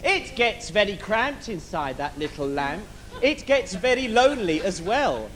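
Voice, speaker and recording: High-voiced camp pantomime genie. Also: male voice, sing-song, a little slow, a bit bright, heavily acted. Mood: mock indignant. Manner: camp, theatrical, chatty, grumbling. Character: camp, bawdy, self-styled butch male genie of the lamp. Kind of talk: adult pantomime stage comedy. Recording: live theatre stage, background noise.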